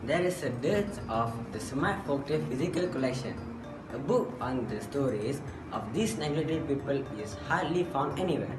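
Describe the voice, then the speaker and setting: A man's voice: mid-range voice, expressive, moderate pace. A man formally reads out a scripted presentation. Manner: formal, reading aloud. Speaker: project presenter. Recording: roomy, distant mic under music. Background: music.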